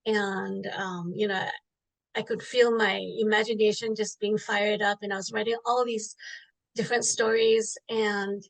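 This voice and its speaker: A middle-aged woman author who grew up in Singapore answers interview questions in a relaxed, reflective way. Her voice carries a light gravelly fry, and she often restarts her sentences and fills pauses with "um.". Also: mid-range voice, low-quality recording. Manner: thoughtful, many fillers and restarts. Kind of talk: interview answer, casual storytelling.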